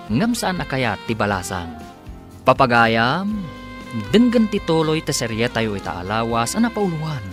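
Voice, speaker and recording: A male radio drama narrator with a mid-pitched, very animated delivery. He reads scripted announcements dramatically over clear background music.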